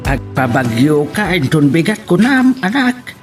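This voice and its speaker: A man's voice: on the high side, big pitch swings, unhurried, music in the background. A man playing a caring older father advising his grown son in an audio drama, with a Filipino accent. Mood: concerned. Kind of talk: Ilocano audio family drama acting.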